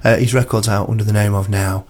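A man's voice: on the low side, steady tone, fast talker. A man presenting a music show on the radio in a casual, chatty, rambling way, with playful self-deprecation.

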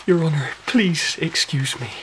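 A man's voice: on the high side, a little slow, noisy background, natural voice. Man playing a pious, tearful humble servant. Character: humble, pious, tearful young manservant, fervently devout. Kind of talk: period radio drama acting.

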